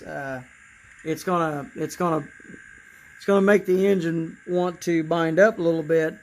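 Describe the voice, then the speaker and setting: A man with a raspy voice demonstrating his model trains in a casual, halting way, with many fillers and repeated words. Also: a little high, rough recording. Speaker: model railroad hobbyist.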